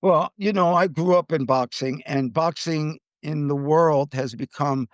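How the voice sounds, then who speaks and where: A man's voice: a little high, measured pace, dry recording. An American man hosting a podcast, giving conversational commentary on the news. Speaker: podcast host.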